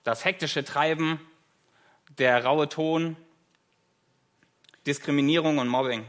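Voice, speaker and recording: A man's voice: on the high side, fairly even intonation, slow pace, fairly bright tone. A German man in his thirties, a guest preacher giving a conversational sermon with light self-deprecating humor, in a church.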